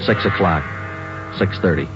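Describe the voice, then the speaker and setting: An actor playing a stoic, terse American police sergeant in a 1940s radio drama, speaking and narrating in a clipped deadpan. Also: male voice, low-pitched, a little slow. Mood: tense narration. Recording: muffled vintage radio recording with music.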